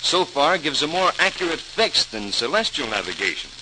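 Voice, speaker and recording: An actor playing an eager, earnest grown son in old-time radio drama, explaining things with enthusiasm. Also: male voice, on the high side, big pitch swings, normal speed. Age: young adult. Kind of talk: 1940s radio drama acting. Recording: noisy, crackling old radio transcription.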